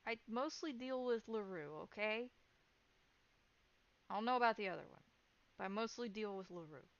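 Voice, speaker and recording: A woman voicing a cornered male informant in a tabletop game, evasive and halting, eager to placate. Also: mid-range voice, lo-fi sound, pitched above the performer's own voice, close to an everyday voice. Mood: defensive.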